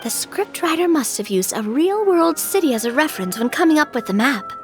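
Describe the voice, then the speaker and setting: An actress playing a sunny, carefree, chatty young adventurer in a fantasy game, cheerful and theatrical. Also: female voice, high voice, animated, fairly quick. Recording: music under the voice.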